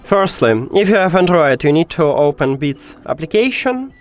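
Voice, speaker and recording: A man narrating a step-by-step tech tutorial in informal, slightly non-native English phrasing, pausing hesitantly as he explains. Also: fairly high pitch, sing-song, soft background music.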